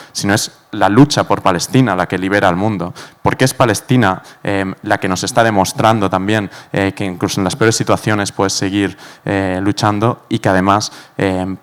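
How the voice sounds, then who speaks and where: A man's voice: on the low side, clear, resonant tone. A man giving a talk on a panel in an earnest, hesitant way, with many fillers. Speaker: panel speaker.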